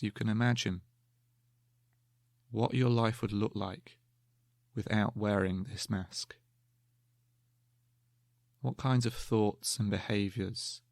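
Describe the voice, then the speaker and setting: A man guiding a meditation in a calm, gentle, measured way, leaving long pauses between slow spoken instructions. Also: fairly low pitch, vocal fry, dry recording, clean studio sound. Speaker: meditation guide.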